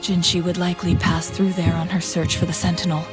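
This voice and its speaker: An actress playing a composed, earnest guide in a fantasy game, speaking in a measured, formal and serious way. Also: female voice, lowish voice, little pitch movement, close to an everyday voice. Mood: grave, urgent. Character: composed, earnest female guide on an urgent quest. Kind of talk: scripted fantasy game dialogue. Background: music.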